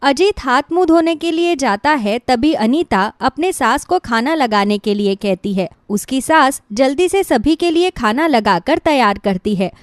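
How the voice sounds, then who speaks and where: A woman's voice: sits high, lively intonation, unhurried, hardly any pauses, no room sound, clean studio sound. A woman giving scripted narration for a cartoon moral story.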